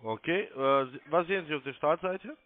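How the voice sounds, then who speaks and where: A man's voice: on the high side, normal speed. A man working as a call centre sales agent, giving insistent, repetitive step-by-step instructions over the telephone. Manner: insistent, repeats himself. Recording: muffled narrow-band phone line.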